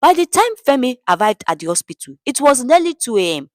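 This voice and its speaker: Animated Nigerian woman storyteller. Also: sits high, fairly quick, no echo, studio quality. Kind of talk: dramatic scripted narration.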